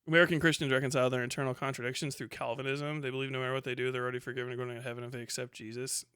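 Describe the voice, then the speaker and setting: A man's voice: mid-range voice, little pitch movement, racing, bright, forceful tone, smooth, no room sound, clean studio sound. A man delivering a casual, ranting livestream monologue in a colloquial, rambling, sweary way. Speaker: livestream host.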